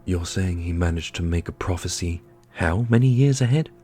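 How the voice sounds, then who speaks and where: A man's voice: deep voice, normal speed, warm tone, faint music behind, lightly acted. A man playing a casual, irreverent young adventurer in a fantasy audio drama, with a wry way of talking. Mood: amazed, wry.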